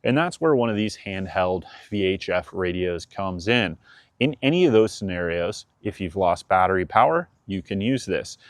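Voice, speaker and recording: A man with a fairly low voice talks straight to viewers as a presenter. He explains things in a practical, matter-of-fact way with a conversational, instructive delivery. The sound is close and dry, with little room around it. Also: expressive, moderate pace, no echo. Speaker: presenter of a boating advice channel. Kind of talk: explanatory advice talk to viewers.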